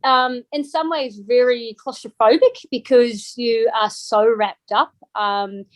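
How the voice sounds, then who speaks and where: A woman's voice: a little high, fairly even intonation, takes it slowly, dry recording. A middle-aged woman from New Zealand with a Kiwi accent, chatting casually as an interview guest, full of ums and restarts.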